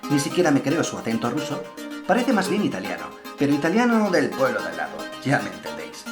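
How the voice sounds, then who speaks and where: A man's voice: mid-range voice, huge pitch swings, fast talker. A man playing a sardonic mockumentary narrator, with a Spanish accent from Spain and wry, conspiratorial asides to the audience. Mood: wry, suspicious. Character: sardonic male narrator of a student film mockumentary. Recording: roomy sound under loud guitar music.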